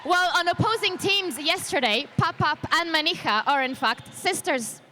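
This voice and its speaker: A woman's voice: extremely high pitch, expressive, moderate pace, sharp tone. A woman doing live roller derby commentary in a chatty, joking way, with a faint arena hum behind her. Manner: jokey, chatty, laughs often. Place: leisure centre arena.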